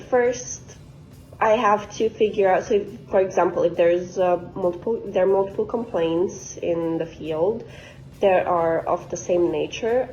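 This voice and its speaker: A woman's voice: mid-range voice, warm tone. A woman in her twenties from Bosnia, talking informally and explaining her work as a guest speaker. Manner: conversational, explanatory. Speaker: guest speaker, quality engineer. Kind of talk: informal career talk. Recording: dull, lo-fi sound.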